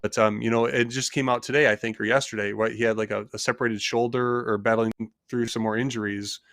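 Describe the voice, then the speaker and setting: A man's voice: medium pitch, little pitch movement, fast talker, gravelly, a bit bright, dry recording, clean studio sound. A man co-hosting a casual sports talk show, rambling with many restarts and hedges. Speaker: co-host.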